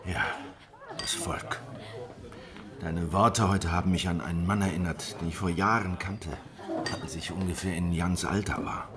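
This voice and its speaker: An actor playing a weary, wary middle-aged veteran of lost uprisings in a historical radio drama, reflective and confiding. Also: male voice, sits low, fairly even intonation, unhurried, crowd noise. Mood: troubled, confiding.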